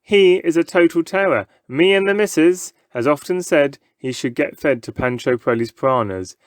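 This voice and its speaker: Man doing a jovial, folksy dad, pitched up. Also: normal speed, no room sound, studio quality, lightly acted. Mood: jovial, teasing. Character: jovial, teasing working-class father in a crowd.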